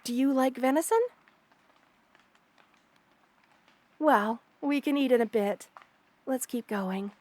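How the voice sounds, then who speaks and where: Soft, higher-voiced motherly spider queen, acted by a woman. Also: close to an everyday voice. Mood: gentle, pleased. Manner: soft-spoken, doting, unhurried reassurance. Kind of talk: ASMR roleplay, addressing the listener. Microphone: close studio mic, dry.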